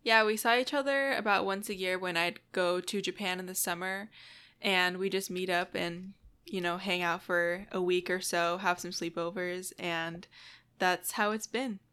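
A young woman from the United States co-hosts a chatty podcast. Her voice sits a little low and sounds smooth and clear. She talks in a friendly, reflective way, in complete sentences. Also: fairly even intonation, normal speed, bright, forceful tone. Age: young adult. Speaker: podcast co-host. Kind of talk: casual podcast chat.

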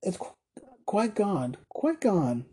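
A man voicing a gentle, shaken young wife in a storybook reading, faltering and soothing. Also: high-pitched, big pitch swings, takes it slowly, breathy edge, pitched above the performer's own voice, full character voice.

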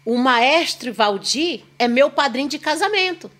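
A woman's voice: high voice, animated, measured pace, fairly bright tone. A woman, a singer and podcast guest telling long, rambling stories in a chatty, self-deprecating way. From Northeast Brazil. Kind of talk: casual storytelling chat.

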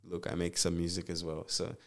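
A young man from Zambia, a nurse and musician, chatting in a relaxed, rambling way as an interview guest, with lots of fillers and restarts. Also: low voice, fairly quick, gravelly. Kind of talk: casual interview chat.

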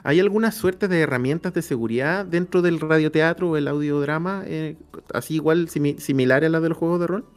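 A man's voice: a little high, fairly quick. A Chilean man chatting casually as a podcast host and interviewer. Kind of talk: casual chat.

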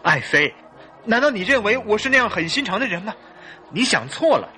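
An actor playing an emotional, tender-hearted English husband in a theatrical, exclamatory Mandarin radio drama style. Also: male voice, high-pitched, big pitch swings, brisk, sharp tone, soft background music, exaggerated voice. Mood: hurt, earnest.

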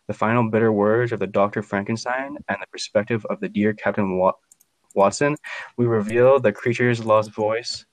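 A man's voice: lowish voice, dry recording, poor audio. A man hosting a podcast, reading a scripted introduction in a formal, grandiose manner. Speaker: podcast host.

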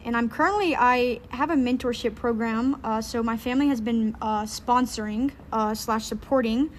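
A woman, speaking earnestly as a podcast guest and often pausing on "um". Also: fairly high pitch, slight background noise. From Louisiana, United States. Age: 20s. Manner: earnest, fills pauses with "um". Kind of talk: casual interview chat.

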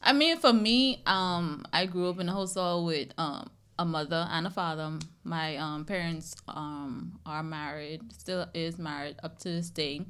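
Smooth, low-voiced young Bahamian-born woman. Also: fairly even intonation, measured pace, hardly any pauses, a bit bright. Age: twenties. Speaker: podcast guest sharing own experience. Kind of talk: casual chat.

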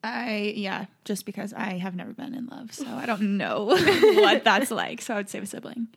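A young woman co-hosting a podcast, speaking in a relaxed, matter-of-fact way. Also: medium pitch, moderate pace, gravelly, husky. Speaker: podcast co-host. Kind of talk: casual chat.

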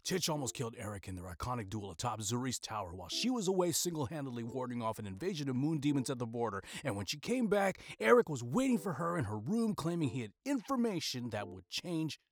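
A man's voice: medium pitch, fast, hardly any pauses. A man playing a chatty, freeloading friend who rambles and muses in an audio sitcom. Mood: excited. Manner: animated, rambling, musing. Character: chatty freeloading male friend obsessed with fandom gossip.

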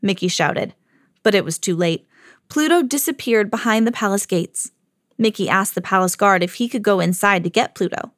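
A mother hosting her own podcast, reading a children's storybook aloud with lively jumps for the quoted lines. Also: mid-range voice, moderate pace, dry recording, clean studio sound. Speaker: mom reading to her kids, podcast host.

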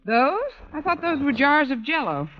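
Sarcastic American schoolteacher, lively swooping intonation, dry wit, radio sitcom, muffled noisy recording. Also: female voice, high voice, normal speed. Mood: wry. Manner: dry, wisecracking. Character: witty, sarcastic, lovestruck woman schoolteacher. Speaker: lead actress of a radio sitcom. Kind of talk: old-time radio comedy acting.

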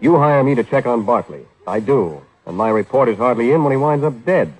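An actor playing a wry, hard-boiled private detective who asks dry, clipped questions in an old radio drama. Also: male voice, medium pitch, animated, fairly quick, darkish tone, husky, low-quality recording. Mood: probing. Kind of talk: 1950s radio detective acting.

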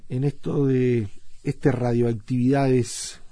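A man's voice: medium pitch, level tone, slow, dark tone, no echo. A man with a Uruguayan accent hosting a radio show in a conversational, loosely phrased way, as in an introduction. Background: background music.

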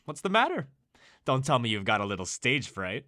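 Showy, swooping game show host. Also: male voice, mid-range voice, wildly swooping pitch, bright, forceful tone. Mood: smug, teasing. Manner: theatrical, drawn-out announcer hype. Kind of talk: audio drama acting.

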